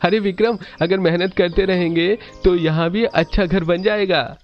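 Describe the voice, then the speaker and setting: एक आदमी जो एक खुशमिज़ाज, मेहनती जवान पति की आवाज़ ऊँची करके बोलता है।. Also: male voice, high voice, fairly even intonation, normal speed, lo-fi sound, faint music behind, pitched above the performer's own voice, lightly acted. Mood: cheerful. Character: cheerful hardworking young husband.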